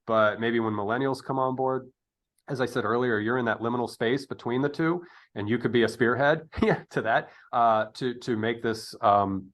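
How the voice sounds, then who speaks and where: A man's voice: medium pitch, normal speed, a bit bright. A man in his fifties talking casually as a podcast interviewer, thinking aloud in a rambling, digressive way. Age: 50s.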